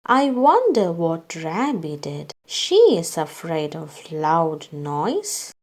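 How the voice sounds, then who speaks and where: A woman reading a children's story aloud, acting out its dialogue in a deliberate way meant for young listeners. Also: low voice, sing-song, a little mellow.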